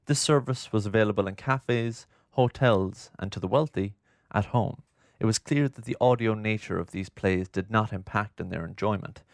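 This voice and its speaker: A man narrating a scripted documentary in a formal, measured, literary way, close on the microphone. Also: fairly low pitch, no echo. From Ireland. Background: music.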